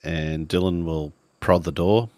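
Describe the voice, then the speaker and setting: A man's voice: low voice, steady tone, unhurried, mellow, slight background noise. A man improvising casually as a player in a tabletop roleplaying game.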